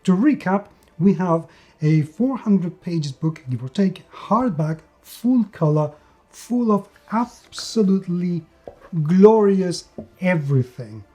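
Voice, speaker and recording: A man's voice: sits high, animated, takes it slowly, mellow, soft background music. A man hosting a tabletop game review, speaking enthusiastically and stressing words by repeating them. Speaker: host and reviewer of tabletop role-playing games. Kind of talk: scripted-sounding video review.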